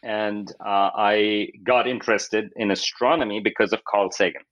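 A man's voice: fairly low pitch, fairly even intonation, moderate pace, a bit bright, dull audio. A man in his fifties with a Pakistani accent, an academic explaining research in an interview and often acting out quotes as he goes. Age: 50s.

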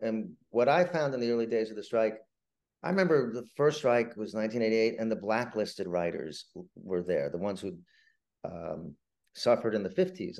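An older man talking casually as an interview guest, wry and halting, often repeating words as he starts a phrase. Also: lowish voice, creaky, darkish tone. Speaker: writer and showrunner, interview guest.

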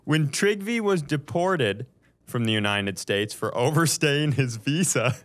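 A man's voice: mid-range voice, expressive, slow, fairly bright tone. A man hosting a comedy podcast, telling a story from notes in a casual, profane way and often chuckling as he goes.

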